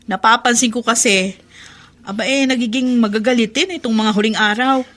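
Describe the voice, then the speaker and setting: Devoted, exasperated Filipino daughter for radio drama, acted by a woman: high, bright voice, pleading and emotional. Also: normal speed, close to an everyday voice. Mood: resigned, worried. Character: devoted, exasperated grown daughter caring for father.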